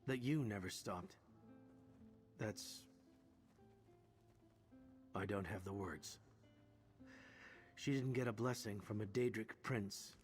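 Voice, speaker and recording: An actor playing a roguish, sincere adventurer in a video game, wry and a little haunted. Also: male voice, fairly low pitch, slow, pauses often, faint music behind. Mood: wistful, tender. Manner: wry banter turning earnest and reflective.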